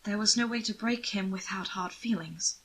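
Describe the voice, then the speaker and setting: A woman's voice: mid-range voice, moderate pace, husky. A woman voicing a timid, submissive young man in an audiobook, frightened and hesitant in manner. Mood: fearful, subdued. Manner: hesitant, quiet, pleading. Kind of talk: audiobook character voice.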